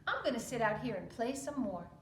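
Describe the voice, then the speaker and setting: A woman voicing the littlest male pig in a storybook tale, with a squeaky, pleading voice. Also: big pitch swings, fairly quick, roomy sound, a little hiss or hum. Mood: carefree. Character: littlest male pig, carefree then frightened.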